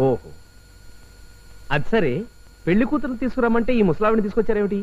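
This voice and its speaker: An actor playing a smug, scheming groom in a film comedy. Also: male voice, high-pitched, big pitch swings, quick pace, highs cut off.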